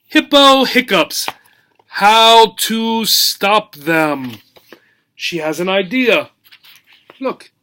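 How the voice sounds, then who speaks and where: A man reading a picture book aloud as a teacher, in simple short phrases, with animated questions for young listeners. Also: high voice. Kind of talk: children's read-aloud lesson, asking questions.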